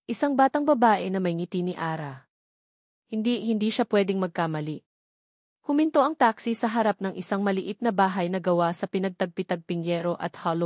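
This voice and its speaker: A Filipino woman narrates a dramatic romance story. Her voice sits at a medium pitch for a woman, and the sound is dry, with next to no room in it. The delivery is scripted storytelling. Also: darkish tone, no echo.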